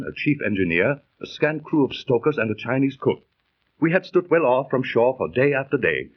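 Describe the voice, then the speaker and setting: An actor in a 1930s radio drama playing an earnest, scientific-minded seafaring storyteller with formal, dramatic diction. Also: male voice, medium pitch, breathy edge. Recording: muffled 1930s radio recording.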